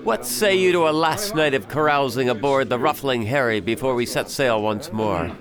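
An actor playing a bold pirate captain in an audio drama, speaking in a formal, declamatory way. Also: male voice, mid-range voice, normal speed, a bit bright, natural voice. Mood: jovial. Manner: well-spoken, declamatory.

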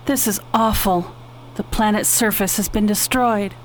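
A woman's voice: mid-range voice, fairly even intonation, measured pace, a little hiss or hum, natural voice, low-quality recording. A woman playing a compassionate, concerned heroine in a space opera radio drama, with emotional delivery. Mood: anxious, concerned.

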